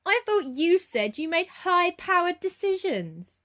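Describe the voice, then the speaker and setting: A woman plays a sceptical, casual young student in an amateur radio play. Her high voice moves around a lot in pitch, with a cheeky edge. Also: very animated, a little slow, a bit bright, exaggerated voice.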